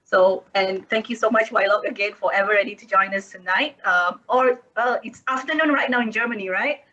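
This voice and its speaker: A woman's voice: medium pitch, fairly quick, bright, forceful tone, highs cut off. A woman moderating a school's virtual panel, polite and thankful, with many fillers.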